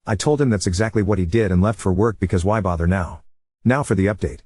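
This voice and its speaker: A man reading a forum post aloud in a brisk, matter-of-fact way. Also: low-pitched, a little mellow, breathy edge, dry recording, studio quality.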